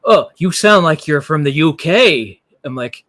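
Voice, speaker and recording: A man's voice: fairly high pitch, lively intonation, a little slow, sharp tone. A man with an Australian accent chats on his live stream. He talks loosely, with frequent fillers and restarts. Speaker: livestream host. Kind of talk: casual live-stream chat.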